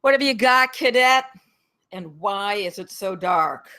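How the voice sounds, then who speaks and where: An actress playing a brash, pompous ex-military campus security captain in a comedy table read, blunt and commanding. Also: female voice, medium pitch, animated, a little slow, pushed and bright. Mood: curt. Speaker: actor in a screenplay table read. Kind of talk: comedy script read aloud.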